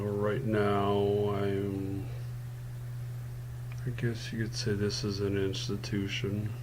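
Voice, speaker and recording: A man in his fifties talking slowly into a webcam, halting with many fillers and restarts, frustrated and complaining. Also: fairly low pitch. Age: 50s. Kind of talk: personal webcam monologue. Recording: noisy webcam recording with background hum.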